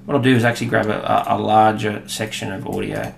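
A man in his thirties with an Australian accent, explaining a software demo in a relaxed way, with many fillers. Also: on the low side, fast, vocal fry, a little hiss or hum. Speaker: product demonstrator. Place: office room, indoors. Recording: echoey room with faint ambience.

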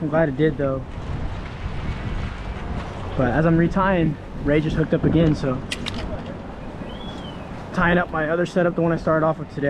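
A man vlogging while fishing outdoors, talking excitedly to the fish and to the camera in casual narration. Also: a little high, dull audio. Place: outdoors on a windy jetty by the sea. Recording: loud surf noise outdoors. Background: crashing surf and ocean wind.

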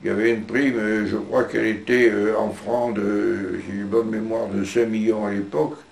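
A man's voice: lowish voice, little pitch movement, moderate pace, creaky, roomy sound, a little hiss or hum. An older man recalling his past in an interview, hesitating often and restarting his phrases. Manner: hesitant, many fillers and restarts. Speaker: veteran interviewee. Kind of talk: recounting memories in an interview. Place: living room indoors.

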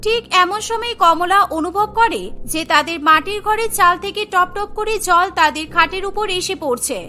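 A woman's voice: extremely high pitch, normal speed, sharp tone, a little hiss or hum. A woman narrating a scripted cartoon story as the storyteller.

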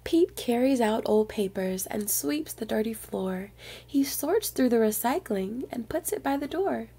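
A woman with a fairly high, very soft-edged voice reads a picture book to young children. She is expressive, as a storyteller speaking straight to her little listeners. Her tone is mellow and distinctly breathy. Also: measured pace.

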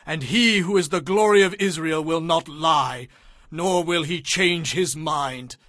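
Casting: righteous, stern prophet for a Bible audio drama, high male voice, commanding, declamatory, dry sound. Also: a little slow, fairly bright tone, no echo. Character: stern, righteous Hebrew prophet rebuking a king.

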